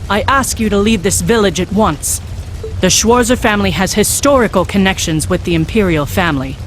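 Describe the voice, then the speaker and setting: A woman's voice: mid-range voice, brisk, a little hiss or hum, lightly acted, poor audio. A woman playing a resolute, dignified noblewoman. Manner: formal, resolute, composed. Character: dignified, brave, protective noblewoman. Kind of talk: dramatic video game acting.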